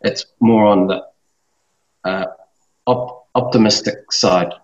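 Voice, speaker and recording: A man in his thirties from New Zealand speaks as an interview guest, his voice sitting low. He thinks aloud, hesitating and restarting often. The sound is roomy and a little dull. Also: fairly even intonation, slow pace. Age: 30s. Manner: thoughtful, with many fillers and restarts. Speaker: guest, business owner. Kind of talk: casual interview talk.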